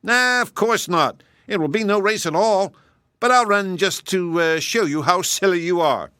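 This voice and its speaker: A man voicing a boastful, haughty male hare in a high, sneering tone. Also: moderate pace, sharp tone, clear, resonant tone, dry recording, clean studio sound. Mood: scornful.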